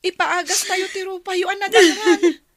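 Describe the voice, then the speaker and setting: A woman's voice: very high-pitched, steady tone, moderate pace. A woman acting in an Ilocano radio drama as a concerned young daughter from a troubled home. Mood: distressed, urgent.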